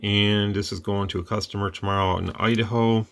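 Low steady-voiced guy showing off a cb radio on his bench, laid back, chatty asides. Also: fairly quick, vocal fry. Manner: relaxed, plain-spoken, rambling asides. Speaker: radio repair channel host. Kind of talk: casual product demo. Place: radio workbench indoors.

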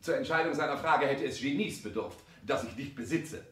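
An actor playing a polished, calculating social climber in a 1960s German radio play, with formal, rhetorical speech. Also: male voice, medium pitch, fairly quick, fairly bright tone, close to an everyday voice. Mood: self-important.

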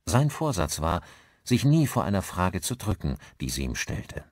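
A man's voice: low voice, sing-song, moderate pace, no echo, studio quality. A man reading a scripted story as an audiobook narrator, in a dramatic style.

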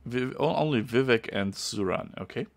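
A man with a German accent, a non-native English speaker, giving chatty let's-play commentary and sometimes pausing to search for a word. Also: medium pitch. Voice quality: gravelly. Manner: chatty, pauses to search for words. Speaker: gameplay streamer.

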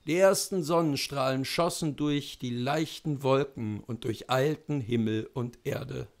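A man in his thirties reading a classic story aloud at a measured, unhurried pace in a room at home. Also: medium pitch. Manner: slow, measured narration. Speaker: reader. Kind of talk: literary reading.

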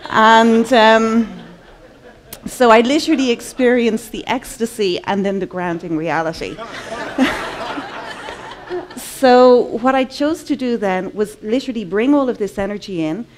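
A woman in her forties with an Irish accent, giving a personal talk on stage to a conference audience, earnest and measured, slowing down on key words. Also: fairly high pitch. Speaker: conference speaker, artist. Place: large conference hall, indoors. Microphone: headset mic.

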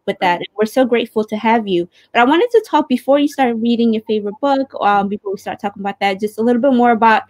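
A woman's voice: a little high, quick pace, few pauses, no room sound. A woman casually co-hosting a livestream over a video call. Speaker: livestream co-host. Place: indoor room at home, on video call. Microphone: video call device.

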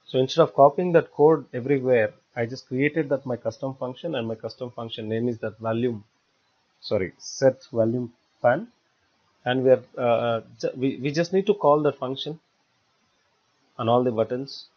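A man narrating a step-by-step software tutorial in a halting, explanatory way. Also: mid-range voice. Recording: dull lo-fi voice-over recording.

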